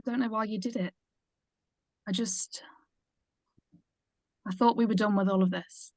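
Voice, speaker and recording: A woman's voice: mid-range voice, steady tone, moderate pace, a little mellow, no room sound, lightly acted. A woman playing an uncomfortable, nagging mother of about sixty in a script read, fussy and chiding. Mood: uneasy, hurt.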